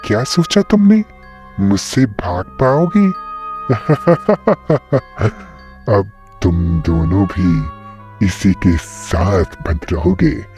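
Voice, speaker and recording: A man voicing a cruel, gloating cartoon witch who taunts in drawn-out words. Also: lowish voice, expressive, darkish tone. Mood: gloating menace. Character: menacing child-snatching witch, cruel and gloating. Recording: dull lo-fi sound, music behind.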